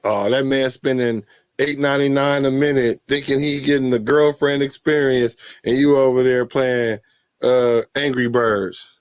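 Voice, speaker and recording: A man hosting a casual late-night chat, laid-back and joking in a relaxed, slangy way. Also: medium pitch, moderate pace, smooth, dry recording. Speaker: host.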